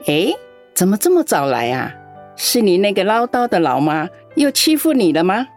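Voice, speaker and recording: A woman's voice: mid-range voice, very animated, moderate pace. An actress playing a doting, lonely elderly aunt in a radio drama, talking fondly and complaining about her absent children. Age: older. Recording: dry studio with soft background music.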